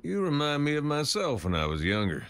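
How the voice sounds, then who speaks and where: Gravelly, amused older gunslinger. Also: male voice, on the high side, huge pitch swings, a little fast, a bit bright, full character voice.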